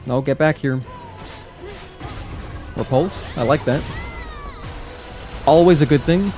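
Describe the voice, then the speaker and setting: A man's voice: a little high, takes it slowly, a little mellow, soft background music. A man whose first language is Spanish, talking casually and in a friendly way to viewers during a live gaming stream, with many fillers. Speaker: gaming livestreamer. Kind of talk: casual live-stream chat while playing.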